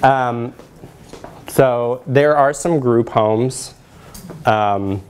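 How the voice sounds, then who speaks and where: A middle-aged man presenting research in a lecture room, explaining in a measured way with frequent pauses. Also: medium pitch, a little mellow, a little hiss or hum. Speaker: presenter, social work researcher. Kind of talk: academic lecture with slides.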